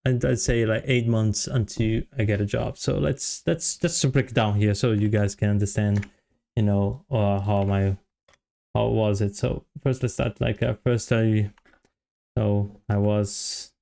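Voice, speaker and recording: Low, gravelly Brazilian man. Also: fairly even intonation, a little slow, warm tone. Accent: Brazilian Portuguese. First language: Portuguese. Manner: rambling with many fillers and restarts. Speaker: vlogger, software developer. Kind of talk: casual storytelling to camera.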